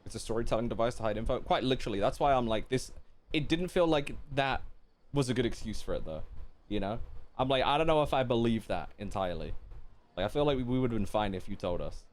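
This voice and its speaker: A man's voice: medium pitch, expressive, fast talker. A man streaming a game, giving casual reaction commentary as he muses aloud skeptically.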